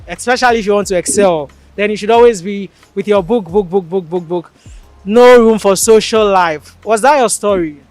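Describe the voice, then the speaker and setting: A man hosts an interview show in a notably high voice. He sounds upbeat and promotional, leading with follow-up questions. Faint music plays behind him. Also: no room sound. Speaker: host.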